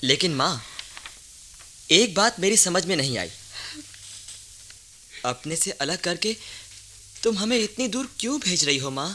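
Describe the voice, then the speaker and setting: Young village son, radio drama: earnest, dutiful, slightly high, very bright. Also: male voice, expressive, unhurried, pauses often, slight background noise, exaggerated voice. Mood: questioning, troubled. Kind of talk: radio play acting.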